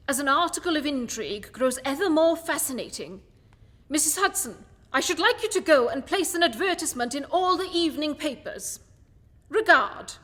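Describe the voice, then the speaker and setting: A woman playing a cerebral, commanding male detective in a radio play, speaking in eloquent, measured, declamatory phrases. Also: high voice, fairly bright tone. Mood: brisk, commanding. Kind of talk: theatrical radio play monologue and dialogue. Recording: music behind the voice.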